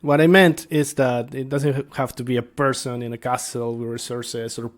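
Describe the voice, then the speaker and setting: A man speaking as a tabletop game master, explaining things casually and without a script, halting as he thinks aloud. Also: mid-range voice, flat delivery. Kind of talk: casual unscripted explanation to viewers.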